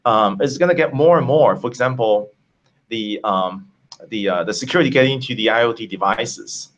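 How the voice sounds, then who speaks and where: A man from Taiwan, a tech company founder, answering interview questions over a video call in a halting way with many fillers. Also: mid-range voice, muffled recording.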